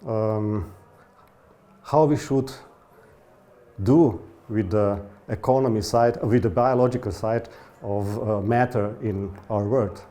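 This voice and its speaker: A man's voice: lowish voice, dark tone. A man from Croatia giving an interview answer, searching for words and using frequent fillers.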